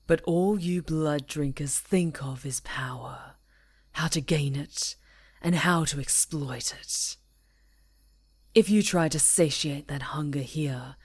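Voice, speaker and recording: A woman's voice: low-pitched, darkish tone, husky, exaggerated voice. Woman voicing an ancient whispering female werewolf, menacing. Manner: slow, whispered, foreboding. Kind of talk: ASMR fantasy audio roleplay.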